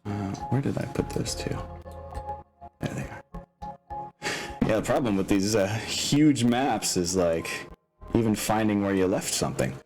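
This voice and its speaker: A man's voice: fairly low pitch, animated, measured pace, pauses often. A man acting as game master, chatting casually through a tabletop session, with apologetic, self-deprecating asides. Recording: music behind the voice. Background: background music.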